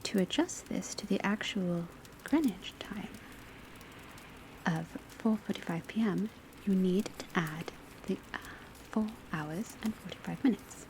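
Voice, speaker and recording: A woman playing a witch academy professor, lecturing in a soft, breathy ASMR voice, often whispered, in a measured and formal way. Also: medium pitch, close to an everyday voice. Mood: calm, instructive. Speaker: teacher. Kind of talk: ASMR classroom roleplay lecture. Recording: steady rain and crackling fire noise. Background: rain on rooftop, fireplace, pencil writing.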